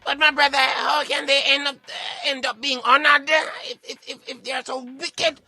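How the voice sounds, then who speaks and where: Man putting on a very high-pitched baffled Jamaican sidekick. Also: little pitch movement, moderate pace, bright, forceful tone, dry recording, faint music behind, heavily acted. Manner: questioning, baffled. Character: incredulous high-voiced sidekick questioning his brother. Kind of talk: comedic radio show banter.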